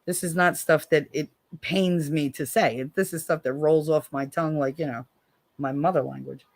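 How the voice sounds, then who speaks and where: A woman's voice: fairly even intonation, moderate pace. Blunt, profane American woman host. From United States. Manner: blunt and profane, swings from low weary tones to sharp sarcastic jabs. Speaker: livestream host. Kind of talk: casual livestream chat, reading viewer comments.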